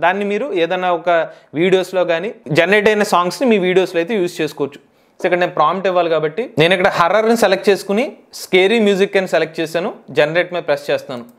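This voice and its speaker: A man hosts a tech tutorial, explaining software step by step and repeating key phrases. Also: high-pitched.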